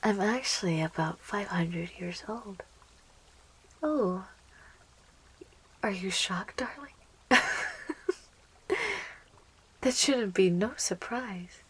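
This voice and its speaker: A woman voicing a young, possessive, lovestruck plant monster girl in an intimate, breathy, slow and coaxing ASMR roleplay, close to the microphone. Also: medium pitch. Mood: teasing, sweet. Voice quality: breathy, whispery. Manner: slow, coaxing, teasing, giggly, doting.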